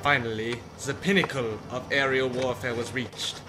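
A man's voice: medium pitch, steady tone, normal speed, over loud music. A man narrating as a theatrical, stern WWI flight instructor, pompous and declamatory, with old-fashioned wording in the style of a vintage military training film.

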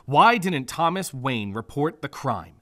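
A man's voice: mid-range voice, sing-song, measured pace, sharp tone. A man playing an insistent prosecutor in a courtroom audio drama, emphatic and interrogating. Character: insistent, pressing male prosecutor.

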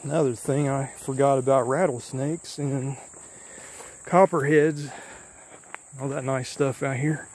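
A man's voice: medium pitch, fairly even intonation, a little slow, pauses often, darkish tone, slight background noise. A man vlogging as he walks an overgrown country road, a little tired, thinking aloud in a loose, rambling way. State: a little tired from a long walk. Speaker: vlogger exploring an abandoned road. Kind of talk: casual walking vlog. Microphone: handheld or body camera while walking. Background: faint insects and rural ambience.

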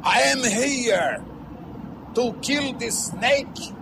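A pompous Brazilian small-town politician, orates very slowly in a high voice. It is a comic television clip with outdoor noise. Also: male voice, expressive, full character voice. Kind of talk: comic oratory.

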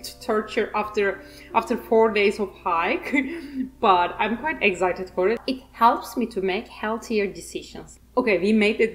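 A woman's voice: mid-range voice, low-quality recording. A woman with a Turkish accent, a native Turkish speaker, giving a rambling, self-mocking voice-over while she paints, laughing often. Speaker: art channel host.

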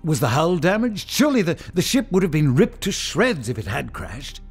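An anguished professor in a sci-fi radio play, high in pitch for a male voice. He speaks in halting, emphatic bursts that swing widely up and down, over faint music. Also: sing-song, husky, exaggerated voice. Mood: excited realisation. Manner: halting, repeats himself, emphatic. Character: anguished, excitable male professor and protective father. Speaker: actor. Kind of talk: audio drama acting.

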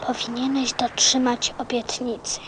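Woman voicing a slow, high-voiced little boy prince. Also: little pitch movement, noisy background, close to an everyday voice. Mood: solemn, wistful. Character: gentle, earnest little prince, a small boy. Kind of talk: radio play acting. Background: music.

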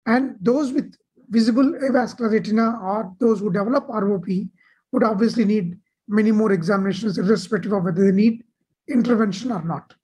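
A woman delivering a measured medical conference keynote over slides on a video call. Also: mid-range voice, darkish tone, breathy edge, poor audio. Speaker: keynote speaker.

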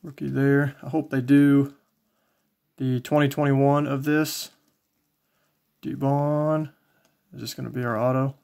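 A man casually commentating as he shows collectibles, in a low-key, folksy way, thinking aloud. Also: flat delivery, measured pace, vocal fry, long pauses, a little mellow. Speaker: trading card collector and video host. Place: indoors, small home tabletop setup.

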